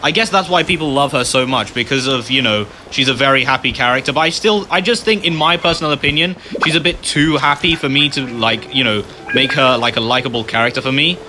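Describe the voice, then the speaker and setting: A man's voice: mid-range voice, quick pace, few pauses, noisy background. A man giving informal, rambling commentary as the host of a game playthrough. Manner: informal, rambling, often asks "you know". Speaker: gaming video host. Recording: game music underneath.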